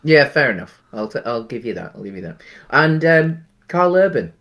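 A man's voice: medium pitch, big pitch swings, normal speed, fairly bright tone. A man in his thirties, co-hosting a casual film-review chat from home over a video call, easygoing and rambling with many ums. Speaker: podcast co-host. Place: home room.

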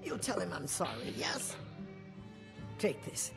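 A woman voicing a grudging lizard-woman innkeeper in a fantasy game, with a raspy, hissing voice. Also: on the low side, big pitch swings, slow pace, exaggerated voice. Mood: nervous, pleading. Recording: game audio with music behind. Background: background music.

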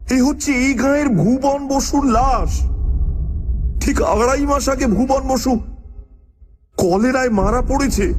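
A man playing an astonished, agitated village station master in a radio drama, with drawn-out, emphatic delivery. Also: very high voice.

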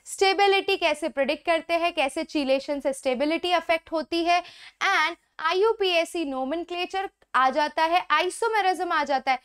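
A woman's voice: very high-pitched, normal speed, a bit bright. A young Indian woman teaches exam chemistry to camera, emphatic and encouraging as she mixes Hindi and English. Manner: gestures with both hands while talking. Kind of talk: exam-prep lesson to camera. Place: indoor studio before a digital whiteboard.

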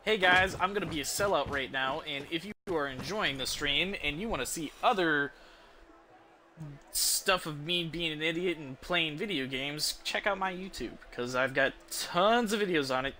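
A man's voice: a little high, moderate pace, bright, forceful tone, a little hiss or hum. A young man chatting casually and irreverently as he live-streams a video game. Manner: irreverent, swearing. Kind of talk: casual live stream chat over gameplay. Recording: game music behind.

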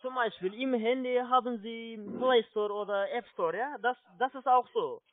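High-pitched man on a muffled phone. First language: not German. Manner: polite, halting, with repeated confirmations. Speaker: call-centre phone agent. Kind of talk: salesman giving step-by-step instructions on a phone call. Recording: muffled, dry telephone line.

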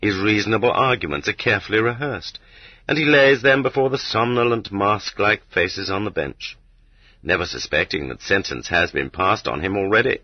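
A man narrating a literary audiobook in a deliberate, rhetorical, sardonic manner, with a husky voice, on a dull cassette transfer. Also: lowish voice, lively intonation, no room sound.

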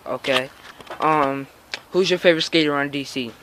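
A man casually interviewing someone from behind a handheld camera on a porch, hesitant and filling pauses with "um" and "all right". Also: on the high side, noisy background. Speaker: interviewer filming a young skater. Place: outdoors on a house porch.

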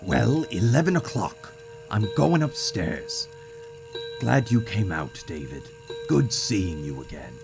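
A man playing a weary older father in an audio drama, with a gravelly voice. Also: on the low side, big pitch swings, takes it slowly, music in the background, full character voice. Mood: subdued, tired.

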